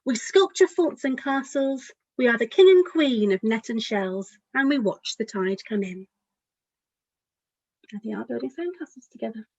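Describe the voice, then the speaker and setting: High-voiced woman reading a children's picture book. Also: normal speed, husky, dry recording, dull audio. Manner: expressive, addressing young listeners directly. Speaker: children's author reading aloud.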